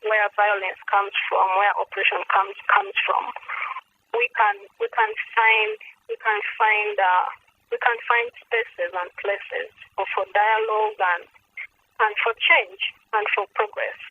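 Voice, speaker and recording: A woman's voice: medium pitch, lively intonation, a little slow. A woman from Uganda with a Ugandan accent, speaking earnestly as an activist guest and repeating phrases for emphasis, over a telephone conference line. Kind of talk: earnest advocacy talk on a conference call. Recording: tinny, noisy phone conference line.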